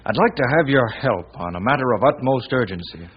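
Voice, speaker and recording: Earnest worried banker, American, grave and apologetic, husky edge, old radio drama, muffled sound. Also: male voice, medium pitch, expressive, brisk. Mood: tense, troubled. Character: troubled, earnest male small-town banker with a conscience.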